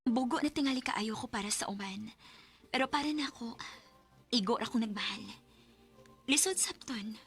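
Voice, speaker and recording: A woman's voice: a little high, moderate pace, pauses often, a bit bright, faint music behind. A woman playing a wounded, passionate, betrayed wife in a radio drama. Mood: quiet heartbreak. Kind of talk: Philippine radio drama acting.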